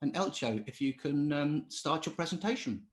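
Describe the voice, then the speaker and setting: A man's voice: on the high side, expressive. A male host opens a webinar and introduces the speakers, stopping often on uhs and ums. His voice sits in an echoey room. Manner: halting, filler-heavy.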